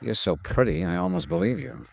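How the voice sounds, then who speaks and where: An actor playing a hard-boiled American investigator in a 1950s radio drama, blunt, wry and impatient. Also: male voice, fairly high pitch, sing-song, a little fast, vocal fry, heavily acted. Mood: impatient, skeptical. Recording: noisy vintage radio recording.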